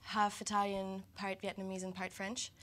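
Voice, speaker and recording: A woman's voice: mid-range voice, monotone, normal speed, fairly bright tone. A woman from Tunisia, a band's singer, chatting casually in an interview. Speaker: band singer being interviewed. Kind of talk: casual chat. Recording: faint background noise.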